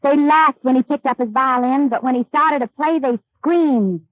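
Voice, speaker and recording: A woman's voice: high-pitched, normal speed, no room sound, phone-quality sound, close to an everyday voice. A woman playing a cheeky housemaid in a 1930s radio comedy, delivering wisecracks matter-of-factly. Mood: wry.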